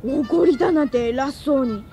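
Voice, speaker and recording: A woman playing a plucky, cheeky high-school girl with worries, in a Japanese radio drama. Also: normal speed, dark tone, soft background music.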